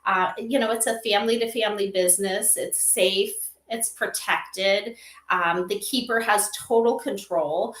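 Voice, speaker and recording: A woman with a very bright, somewhat high voice talks as an interview guest. She explains informally and with animation, slipping into little example exchanges. The sound is clearly roomy and echoing. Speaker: interview guest, company advisor on AI memorial companions. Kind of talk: casual livestream interview, explaining.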